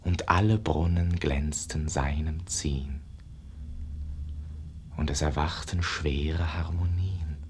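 An actor playing a dreamy, rapturous young painter's disciple, declaiming verse in a hushed, lyrical way in a 1950s radio drama. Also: male voice, a bass voice, steady tone, slow pace, slight background noise. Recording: muffled-edged 1950s radio play recording.

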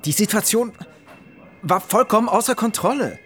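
High, agitated policeman. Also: expressive, normal speed, fairly bright tone, soft background music. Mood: defensive, shaken. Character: shaken, defensive male police officer. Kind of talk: German crime radio drama acting.

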